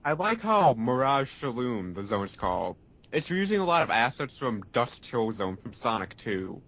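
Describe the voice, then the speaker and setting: A man's voice: mid-range voice, big pitch swings, moderate pace, fairly bright tone. Young man geeking out casually. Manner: enthusiastic gamer talk. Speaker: podcast co-host. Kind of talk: casual chat.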